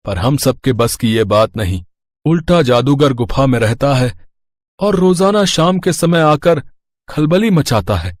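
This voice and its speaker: A man's voice: medium pitch, darkish tone, no echo. A man voicing a worried, kindly older merchant and town elder in a children's cartoon story, explaining earnestly in a fatherly way.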